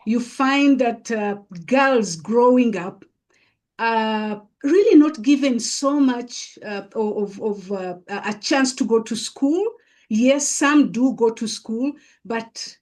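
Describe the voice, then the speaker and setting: A woman from East Africa with an East African accent, telling her own story as an interview guest in a halting, deliberate way, repeating phrases for emphasis. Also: on the high side, a little mellow. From East Africa, Uganda and Kenya. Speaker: podcast guest, coach and mentor.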